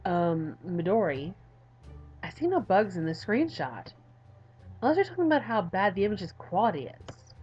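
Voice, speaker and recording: A woman voicing an exasperated adult male game developer in a visual novel, deadpan and condescending. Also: medium pitch, normal speed, breathy edge, highs cut off, soft background music.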